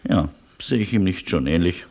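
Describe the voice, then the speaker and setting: An actor in a radio play playing a weary, courteous refugee with a wry, resigned way of talking. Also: male voice, low-pitched, animated, a little mellow. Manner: wry, resigned, polite, deliberate. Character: weary, ironic male refugee, nervous but courteous.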